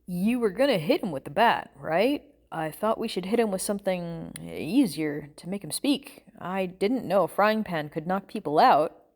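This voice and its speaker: A woman's voice: lowish voice, sing-song, normal speed. An impulsive male sidekick voiced by a woman reader in a storybook thriller. He sounds earnest, naive and defensive.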